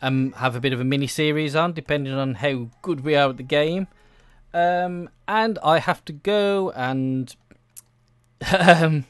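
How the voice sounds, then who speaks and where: A man's voice: on the high side, a bit bright, dry recording, clean studio sound. A man chatting casually over gameplay in a hesitant, self-deprecating, rambling way, like a video creator thinking aloud.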